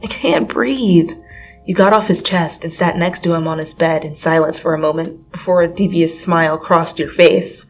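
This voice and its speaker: A woman's voice: deep voice, steady tone, normal speed, few pauses, poor audio. A woman giving a dramatic reading of fan fiction as an amateur narrator, with music behind her. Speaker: amateur story reader.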